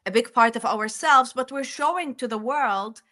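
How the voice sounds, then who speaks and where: A woman from Jordan telling her personal story as an interview guest, speaking in an earnest, deliberate way. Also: a little high, bright, forceful tone.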